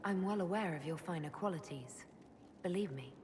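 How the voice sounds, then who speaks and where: A woman acts as a calm, inquisitive fantasy game heroine. Her voice sits fairly low, with measured, direct phrasing. It sounds in a reverberant hall with clear ambient noise behind. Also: close to an everyday voice. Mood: calm, curious. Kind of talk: video game dialogue acting.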